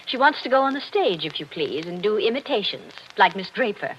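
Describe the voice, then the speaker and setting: A woman's voice: medium pitch, sing-song, a little fast, a bit bright. A woman playing a refined, lonely army wife hiding her unhappiness, genteel and theatrical in 1940s radio drama style. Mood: haughty. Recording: dull, noisy vintage radio recording.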